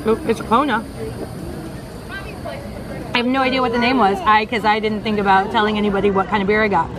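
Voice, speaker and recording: A woman's voice: medium pitch, level tone, brisk. A woman in her thirties chatting casually on a vlog at a theme park, candid and a little hesitant, recorded on a handheld camera. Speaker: vlogger. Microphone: handheld camera at arm's length. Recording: outdoor park with music and crowd noise. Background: crowd and park music.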